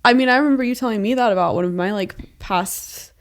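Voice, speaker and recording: A woman's voice: mid-range voice, quick pace. A woman in her late twenties, co-hosting a podcast in casual chat, candid and sprinkled with "like" and the odd swear. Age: 20s. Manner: frequent "like" fillers, candid and profane. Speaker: podcast co-host.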